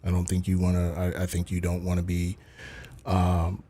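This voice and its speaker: A man calmly questioning a kid in a casual online voice chat, patient and probing. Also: deep voice, normal speed. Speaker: streamer talking to a kid online.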